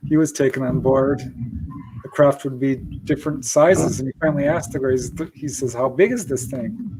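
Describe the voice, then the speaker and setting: A man's voice: mid-range voice, moderate pace, darkish tone, rough recording. A man speaking as a guest researcher, answering conversationally with anecdotes in an interview. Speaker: guest UFO researcher and author.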